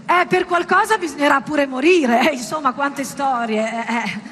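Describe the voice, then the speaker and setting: High-voiced theatrical woman in a reverberant hall. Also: moderate pace, a bit bright. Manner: dramatic, ironic, direct address with rhetorical questions. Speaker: stage actress performing a monologue. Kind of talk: theatrical monologue addressed to a live audience. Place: indoor performance space, live. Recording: reverberant hall with background noise.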